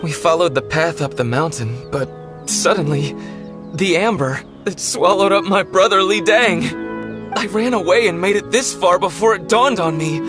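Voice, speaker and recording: Male actor as humble young game villager, high for a man, desperate and fawning, music clearly underneath. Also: normal speed, close to an everyday voice. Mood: remorseful, pleading. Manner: pleading, fawning, fretful. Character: desperate, humble, poor young male treasure hunter pleading for help. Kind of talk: dramatic fantasy game voice acting.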